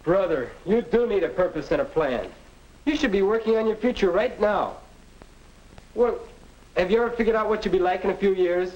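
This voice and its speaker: Guy playing earnest american high school kid giving advice, highish voice, muffled old 50s film. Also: a little fast, a little mellow, natural voice. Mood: preachy, blunt. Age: young adult. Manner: preachy, earnest. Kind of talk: 1950 instructional film acting. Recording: dull 1950 film soundtrack.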